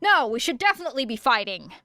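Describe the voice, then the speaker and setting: A woman's voice: high-pitched, big pitch swings, fast talker, sharp tone, no echo, clean studio sound, pitched above the performer's own voice, heavily acted. A woman voicing a foul-mouthed teenage girl fighter who talks in curt, taunting jabs.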